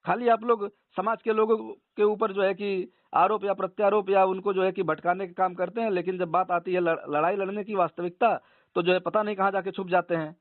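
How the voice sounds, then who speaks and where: A man's voice: sits high, flat delivery, fairly quick, dry recording. A man argues insistently in a heated phone debate, repeating his points. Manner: argumentative, insistent, repeats himself. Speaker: organisation leader debating a caller. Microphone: phone line. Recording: tinny narrowband phone call recording.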